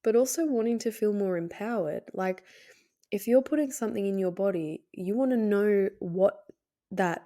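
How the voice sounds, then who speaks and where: A woman with a cold, sounding hoarse and nasal, hosting her podcast in a candid, hesitant way with many fillers and soft laughs. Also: medium pitch, normal speed. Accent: Australian. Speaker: podcast host. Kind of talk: casual solo podcast talk.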